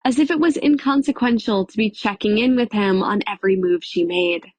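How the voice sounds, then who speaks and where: A woman narrating a dramatic audiobook in a measured, expressive storytelling manner. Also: medium pitch, no echo. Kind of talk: scripted dramatic fiction narration.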